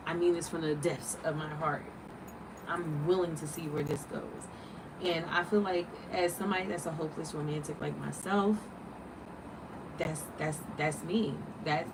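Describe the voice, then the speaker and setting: Animated woman in her late thirties. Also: sits low, recorded from a distance, noisy background. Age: 30s. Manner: animated, preachy, rhetorical questions. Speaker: livestream host. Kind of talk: casual livestream talk.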